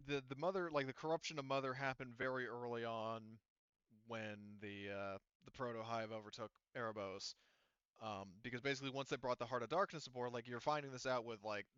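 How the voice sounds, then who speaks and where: Man, game master, crisp bright voice, casual explanatory delivery, halting phrasing, dull lo-fi audio. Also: medium pitch, steady tone, no room sound. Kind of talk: casual tabletop game narration and rulings.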